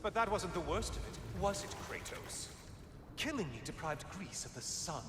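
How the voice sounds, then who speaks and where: A man's voice: high-pitched, big pitch swings, moderate pace, background noise. A man playing a bitter, mocking dead god in a video game, with a sarcastic, theatrical, taunting delivery. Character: mocking severed head of a bitter male god. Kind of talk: cinematic video game acting. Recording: echoing long reverb, music underneath.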